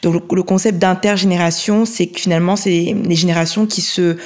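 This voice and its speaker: A woman's voice: fairly low pitch, steady tone, a little fast, darkish tone. A woman, carefully explaining terms from her notes in a conversation. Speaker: co-host. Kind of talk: explanatory chat.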